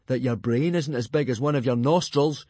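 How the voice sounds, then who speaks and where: A man voicing a sarcastic young Viking underdog in a children's audiobook, with a wry, deadpan manner. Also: mid-range voice, moderate pace, dry recording, pitched above the performer's own voice, close to an everyday voice. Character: dry, sarcastic Viking boy, loyal underdog friend. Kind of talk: children's audiobook character acting.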